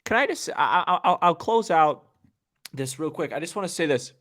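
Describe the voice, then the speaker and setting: A man's voice: a little high, expressive, normal speed, fairly bright tone. A man co-hosting a sports podcast, chatting casually with opinions and repeating phrases for emphasis.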